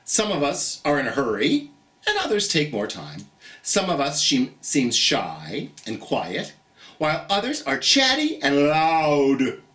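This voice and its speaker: A storytime host reads to children in a mid-pitched voice that rises and falls dramatically. The delivery is slow and encouraging, as if speaking straight to young listeners. The room adds a noticeable echo. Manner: expressive, encouraging, asks questions of young listeners. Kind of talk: animated read-aloud storytime for children. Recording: roomy, echoey sound.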